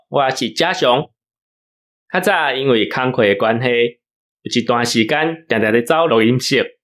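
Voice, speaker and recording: A man's voice: medium pitch, fairly bright tone. A man with a Taiwanese accent giving a casual personal account to listeners. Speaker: illustrator and scriptwriter.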